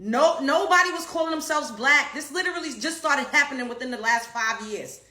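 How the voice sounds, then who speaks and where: A woman's voice: sits high, brisk, bright, forceful tone. A woman, ranting bluntly and profanely as an online commentator and comedian. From Brooklyn, New York. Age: 30s. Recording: roomy echo.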